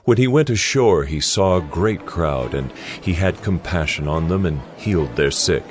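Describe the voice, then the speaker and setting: A man narrating scripture in a solemn, measured, scripted style for a dramatized audio Bible. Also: deep voice, animated, background noise. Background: music.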